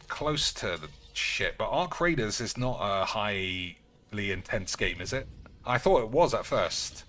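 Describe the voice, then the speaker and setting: A man's voice: on the low side, expressive, a little slow, bright, forceful tone. A man giving casual, rambling gameplay commentary, swearing and wryly talking to himself. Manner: sweary, wry muttering to himself. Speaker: game streamer. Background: video game sounds.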